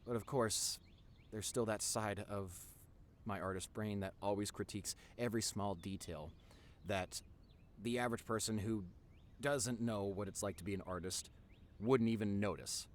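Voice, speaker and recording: A man's voice: on the low side, fairly even intonation, normal speed, a little hiss or hum, close to an everyday voice. A man playing a nerdy, introverted young boyfriend in an intimate audio roleplay, earnest and rambling with a nervous stammer. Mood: earnest, wistful. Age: young adult. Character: nerdy introverted young boyfriend, wacky, tender, passionate artist.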